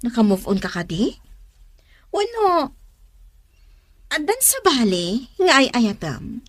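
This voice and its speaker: A woman's voice: on the high side, huge pitch swings, slow pace, no room sound, studio quality, full character voice. A woman acting a chatty, teasing younger sister in a radio drama.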